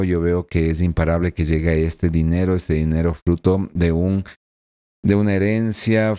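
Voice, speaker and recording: Low, mellow-voiced man reading tarot. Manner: earnest, addresses the listener directly with frequent tag questions. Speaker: tarot reader. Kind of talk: weekly horoscope tarot reading. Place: small home room with blinds and plants. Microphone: desk microphone in front of him.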